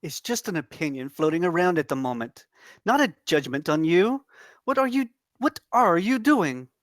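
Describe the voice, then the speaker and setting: A man's voice: a little high, very animated, moderate pace, a bit bright, no room sound, clean studio sound. A man voicing a humble, loyal janitor and reluctant champion, plain and unassuming, in a script table read. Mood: placating.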